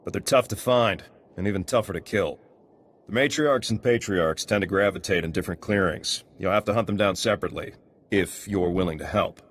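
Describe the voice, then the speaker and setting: Gruff male ruins guard for a fantasy game, lowish voice, terse, dutiful, plain-spoken. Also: fairly quick. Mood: earnest, businesslike. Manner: terse, clipped sentences. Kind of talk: video game dialogue acting.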